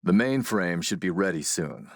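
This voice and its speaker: An actor playing a ruthless middle-aged warlord in a video game, speaking in a measured, courtly, menacing way. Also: male voice, sits low, animated, creaky, dry recording, clean studio sound, exaggerated voice. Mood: businesslike menace. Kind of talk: video game character voice acting.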